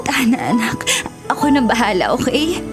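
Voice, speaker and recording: A woman's voice: fairly high pitch, very animated, normal speed, over loud music. A woman playing a tender, ailing Filipino mother who pleads and soothes in a television drama. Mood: pleading, tearful.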